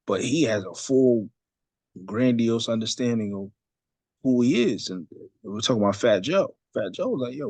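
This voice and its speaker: A man's voice: on the low side, darkish tone, husky, no room sound. A man teaching a religious study session in a loose, conversational way, full of fillers and asides. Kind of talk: casual religious study talk.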